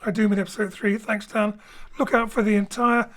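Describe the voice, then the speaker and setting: Cheerful theatre host wrapping up. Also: female voice, mid-range voice, little pitch movement. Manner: brisk, cheerful, jokey with the cast. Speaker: host and director of the theatre company. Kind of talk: post-show announcements. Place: home studio.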